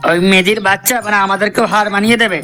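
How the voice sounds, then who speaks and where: A man voicing an eager, crude village lad gossiping excitedly in a comedy cartoon. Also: high voice, very fast, music in the background, close to an everyday voice. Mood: gossipy.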